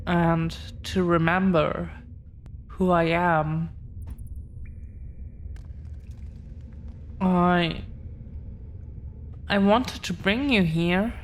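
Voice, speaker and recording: Very slow, gentle dragon girlfriend, acted by a woman. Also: fairly low pitch, a little hiss or hum. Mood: earnest, hesitant. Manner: gentle, hesitant, lingering pauses between phrases. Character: affectionate, protective dragon-descended girlfriend, shy and earnest. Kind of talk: roleplay audio, intimate scripted drama.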